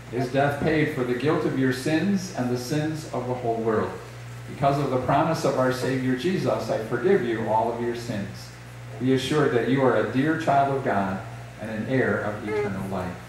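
A middle-aged man, a pastor, leading liturgy and reading scripture in a measured, solemn way. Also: medium pitch, a little mellow, a little hiss or hum. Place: small church sanctuary. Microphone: distant camera, later lectern mic. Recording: echoey church from a distance.

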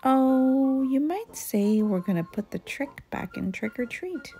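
A sly young bully bear voiced by a woman storyteller plots pranks in a children's storybook. He talks slowly and conspiratorially, with a taunting edge and some creak, over soft music. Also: high voice, big pitch swings, pitched above the performer's own voice, full character voice. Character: mischievous bully boy bear, scheming gang leader.

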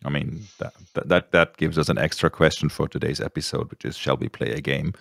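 A man's voice: extremely low pitch, fairly even intonation, brisk, creaky, fairly bright tone, dry recording, clean studio sound. A middle-aged man hosting a podcast over a video call, joking and laughing often as he rambles through his points. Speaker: podcast host. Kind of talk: casual chat on a video call. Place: home studio. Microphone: studio mic on a boom.

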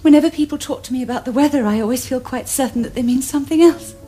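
A woman's voice: sits high, fast talker, mellow, husky, faint music behind. A young woman with an upper-class English accent playing a poised, self-assured Victorian society lady in a period comedy, precise and affected. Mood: coolly assured. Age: young adult. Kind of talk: period stage comedy acting.

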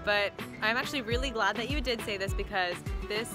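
A woman's voice: mid-range voice, fairly quick. A young woman in her twenties chatting casually in a travel vlog, teasing and bubbly, mixing in Hindi phrases. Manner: teasing, bubbly, switches between English and Hindi. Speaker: vlogger. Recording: loud crowd chatter and music.

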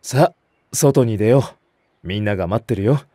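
An actor playing a mild, sensible senior nursery teacher, gentle and instructive, in an anime-style audio drama. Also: male voice, fairly low pitch, normal speed, dry recording, clean studio sound, lightly acted. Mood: gentle, directing.

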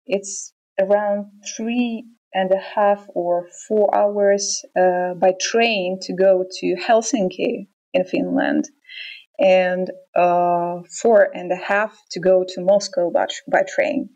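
A woman with a Russian accent, answering interview questions in a measured way, pausing often with ums. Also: lowish voice, gravelly, warm tone, rough recording. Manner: measured, hesitant with frequent ums. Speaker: band member interviewed.

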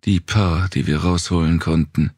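A man's voice: low voice, fairly even intonation, moderate pace, darkish tone, breathy edge, no room sound, studio quality, voice pushed up. A man voicing a weary, blunt, sardonic wounded woman survivor in an audiobook. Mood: blunt, bitter. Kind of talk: audiobook character dialogue.

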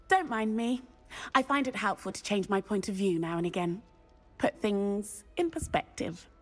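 A woman's voice: medium pitch, animated, normal speed, natural voice. Woman as a breezy, daring British witch girl, lilting. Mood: playful, airy. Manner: playful, chatty, airy. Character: breezy, thrill-seeking teenage witch girl, chatty and daring. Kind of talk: video game character dialogue. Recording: music behind the voice.

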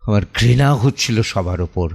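A man playing a weary, wounded middle-aged father in a dramatic audio play monologue, with deliberate, theatrical delivery and heavy pauses. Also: on the low side, animated, creaky, a little mellow, husky, full character voice. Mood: indignant, anguished. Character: weary, wounded father of an assaulted daughter, brooding.

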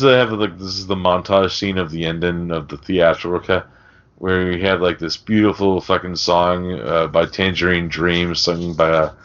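A man's voice: low-pitched, fairly bright tone. A man co-hosting a podcast, discussing film casually in a rambling, sweary, conversational way. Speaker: podcast co-host. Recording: dull, lo-fi podcast audio.

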